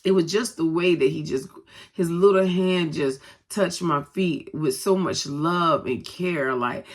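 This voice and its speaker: A woman's voice: low voice, measured pace. A grandmother and co-pastor with a stuffy nose from a head cold, chatting casually to her viewers with many "um"s. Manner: conversational, many "um"s, talks straight to viewers. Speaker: vlogger and co-pastor. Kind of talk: casual personal vlog talk.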